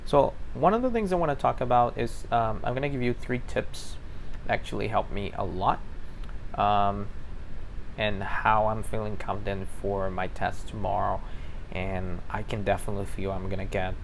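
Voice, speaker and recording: A man's voice: fairly low pitch, fairly even intonation, normal speed, gravelly, a little hiss or hum, poor audio. A young man from Brazil with a Brazilian Portuguese accent, giving casual advice to the camera with many fillers and restarts. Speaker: test-prep vlogger.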